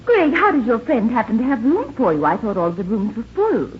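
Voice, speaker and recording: A proud, argumentative young lady in forties radio drama. Her voice is high and very expressive. The old recording is dull and slightly noisy. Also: a little fast, breathy edge, full character voice. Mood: probing, concerned. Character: proud, hurt young woman stowaway, quick to argue. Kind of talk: 1940s radio drama acting.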